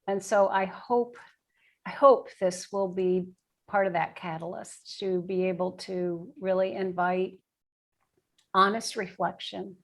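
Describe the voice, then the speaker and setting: A woman's voice: medium pitch, fairly even intonation, slow. A woman speaking reflectively in an interview, searching for words and repeating phrases as she goes. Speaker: guest, nursing and bioethics professor.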